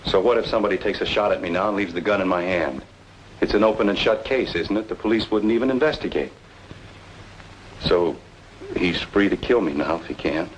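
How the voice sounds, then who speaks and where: An actor plays a cool, secretive man in a film noir radio play. His voice is low, his manner wry and clipped. It is an old radio recording. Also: brisk, natural voice, low-quality recording. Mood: tense, resolute. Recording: old radio drama, background noise.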